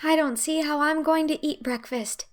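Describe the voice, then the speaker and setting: A woman's voice: sits high, moderate pace, soft background music, voice pushed up, close to an everyday voice. A woman voicing a chatty, dreamy orphan girl who gushes effusively. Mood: rapturous. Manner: gushing, effusive.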